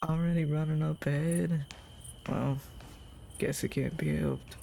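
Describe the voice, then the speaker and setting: An actor voicing a folksy, friendly drifter in a scripted audio monologue, with a familiar, chatty way of talking. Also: male voice, on the high side, steady tone, measured pace, vocal fry, warm tone, slight background noise. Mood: warm, teasing. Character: folksy, drawling friendly drifter man with unnatural features. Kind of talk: scripted character monologue to the listener.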